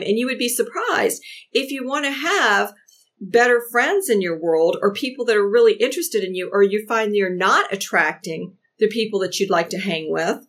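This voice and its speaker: A woman in her sixties, a podcast guest, talking earnestly and giving advice with frequent restarts. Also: on the high side. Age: 60s. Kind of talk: casual chat.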